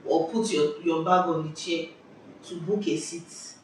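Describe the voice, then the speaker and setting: A young woman with a Nigerian accent tells a story in an animated, exclamatory way, cutting herself off and restarting. Her voice bounces around a very echoey room. She sits some way from the mic, and a faint hiss runs underneath. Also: deep voice, unhurried, recorded from a distance. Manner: lively, exclamatory, self-interrupting. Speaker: vlogger. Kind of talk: casual storytelling chat. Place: indoors at home.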